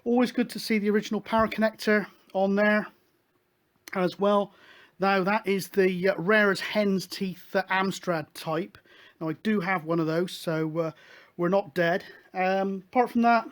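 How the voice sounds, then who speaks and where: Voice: medium pitch, fairly even intonation, moderate pace. A host explaining things casually, in a hesitant, rambling, matter-of-fact way. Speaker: host of a hobby CB radio show.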